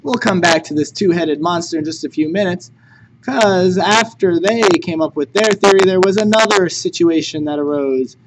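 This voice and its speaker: A man's voice: high-pitched, moderate pace. A man teaching chemistry in a recorded lecture, conversational and jokey. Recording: noticeable background noise.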